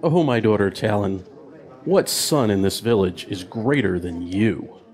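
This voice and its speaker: A proud warrior father , voiced by a man, with a fairly low voice. He sounds measured and paternal, in the manner of a fantasy radio drama. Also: darkish tone, natural voice. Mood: fond pride. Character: proud warrior father, middle-aged village fighter.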